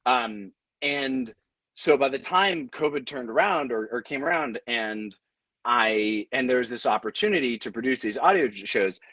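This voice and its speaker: Bright, dry-sounding man telling anecdotes. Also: mid-range voice, expressive, moderate pace, no room sound, low-quality recording. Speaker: panelist, audio drama producer and company founder. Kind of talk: casual, anecdotal panel talk.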